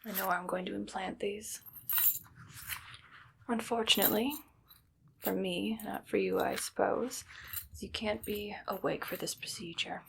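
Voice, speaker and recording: A woman voicing a coolly menacing evil doctor in an ASMR roleplay, soft-spoken, breathy and unhurried. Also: medium pitch, fairly even intonation, background noise, natural voice. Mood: calm, menacing. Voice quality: breathy, whispery, creaky. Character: evil female doctor, coolly menacing, controlling mad scientist. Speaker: ASMR performer.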